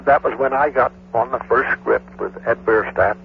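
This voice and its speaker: A man with a creaky, gravelly voice of middling pitch recalls past work in an interview. The archive recording is narrow and tinny, with faint noise. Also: moderate pace.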